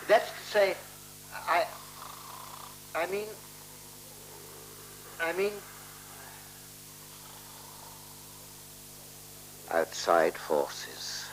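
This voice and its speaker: An actor playing an earnest, anxiously precise man in a 1970s radio play, formal and deliberate. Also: on the high side, wildly swooping pitch, heavily acted, poor audio. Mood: nervous, apologetic. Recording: hissy, humming 1970s radio recording.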